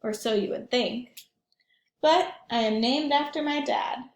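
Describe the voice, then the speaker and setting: Earnest, determined little storybook boy, voiced by a woman, high pitch, emphatic delivery, echoing room. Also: measured pace. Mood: proud, eager. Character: spirited young boy longing for his own name. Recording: echoey room.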